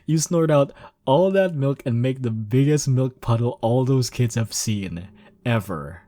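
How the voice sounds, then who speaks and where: A man's voice: medium pitch, expressive, unhurried, warm tone, no echo, close to an everyday voice. A cocky young man, played by a male voice actor in an intimate romance audio, teasing and easily flustered. He takes his time over the words, and the sound is close and dry, with no room around it. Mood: playful teasing. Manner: teasing, chuckling, sighing, stammering when flustered.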